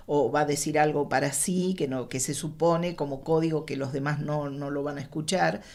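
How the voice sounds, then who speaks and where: A woman explaining technical craft informally as a guest, rephrasing as she goes. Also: sits low.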